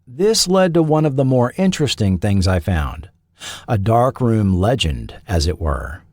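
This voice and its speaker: A man's voice: on the low side, big pitch swings, measured pace, darkish tone, dry recording, clean studio sound. A man narrating a scripted documentary as its host.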